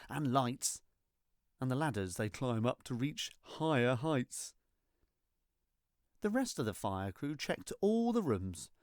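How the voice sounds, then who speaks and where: A storyteller reading a rhyming children's storybook in an expressive, theatrical and deliberate way. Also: medium pitch, no echo, studio quality. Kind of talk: scripted storybook narration in rhyme.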